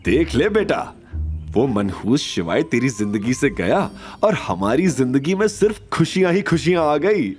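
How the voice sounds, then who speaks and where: A man's voice: mid-range voice, sing-song, a little slow, darkish tone, soft background music, voice pushed up. A man voicing a scheming middle-aged mother in an audio drama, gleeful and teasing.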